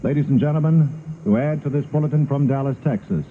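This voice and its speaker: A male network announcer reading a 1960s radio news bulletin in a grave, deliberate way. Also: a little high. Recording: phone-like, faintly hissy 1960s radio recording.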